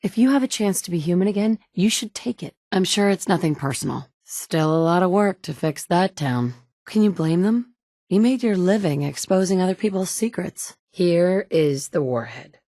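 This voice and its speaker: A woman's voice: lowish voice, animated, unhurried, dark tone, no room sound. An actress voicing a tough, direct female survivor in a video game, speaking in a matter-of-fact way in a studio booth. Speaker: player character. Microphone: close studio mic.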